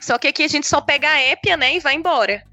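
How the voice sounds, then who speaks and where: A woman with a Brasília Portuguese accent chats on a podcast in a bright, fairly high voice. She rambles along with plenty of fillers. The sound is dry and close, with faint music underneath. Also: fast, dry recording, highs cut off. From Brasília, Brazil. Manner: chatty, rambling, frequent fillers. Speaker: podcast guest. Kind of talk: casual chat.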